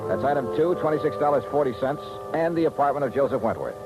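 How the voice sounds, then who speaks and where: Guy playing hardboiled american radio detective, wry, clipped, swingy pitch, old 1950s recording. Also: expressive, quick pace, close to an everyday voice, poor audio. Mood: dry, wry. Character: wry, probing freelance insurance investigator, adult man. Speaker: lead actor and narrator of radio detective series. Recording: vintage 1950s radio recording, music behind.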